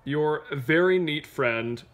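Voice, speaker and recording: A man voicing a fussy, exasperated neat-freak purple crayon in a picture book, halting and emphatic. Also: fairly high pitch.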